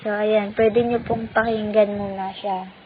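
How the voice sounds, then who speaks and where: Woman, Filipino accent, patient polite tutorial host, slow, thin phone-like sound, faint background hiss. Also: medium pitch, little pitch movement. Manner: polite, patient, uses many "po" courtesy markers. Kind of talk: step-by-step phone app tutorial. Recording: tinny phone-like audio with faint noise.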